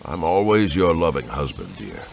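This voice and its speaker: A man's voice: a bass voice, sing-song, normal speed, creaky, slight background noise, full character voice. An actor playing a middle-aged soldier and father in an audiobook drama, blunt and commanding but loving. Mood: gruff affection. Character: gruff older soldier father, stern but loving husband.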